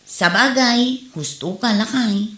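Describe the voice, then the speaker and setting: A woman with an Ilocano Filipino accent playing a loud, bickering village wife in a comedy skit. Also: fairly high pitch, takes it slowly. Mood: surprised, doubtful. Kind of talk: Ilocano comedy drama acting. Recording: roomy echo, music behind.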